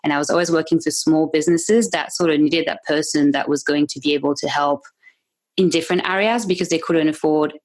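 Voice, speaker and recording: Low-voiced woman with a French accent. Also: steady tone, a little fast. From France. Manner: chatty, laughs often, many fillers and restarts. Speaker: guest, membership business owner. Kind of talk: podcast interview.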